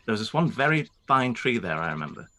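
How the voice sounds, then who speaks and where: A man playing a reserved, proud young gentleman landowner in a radio play, speaking formally with brief hesitations. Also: mid-range voice, big pitch swings, fast. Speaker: actor in a live-read radio play. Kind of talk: period radio play acting.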